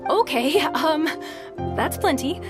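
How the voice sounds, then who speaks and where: A woman's voice: high voice, lively intonation, slow, over music. A woman voicing a hesitant, awestruck tournament registrar in a video game. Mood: apologetic.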